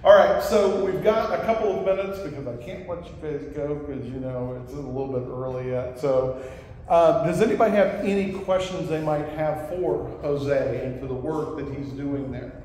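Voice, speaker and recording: A man's voice: a little high, moderate pace, darkish tone. A man in his fifties hosting a church missions event on a handheld mic, upbeat and joking with the congregation. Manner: upbeat, joking, calls on the audience. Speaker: pastor hosting a missions conference. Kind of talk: casual church announcements. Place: church hall stage. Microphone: handheld microphone picked up from afar. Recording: echoey hall, distant camera.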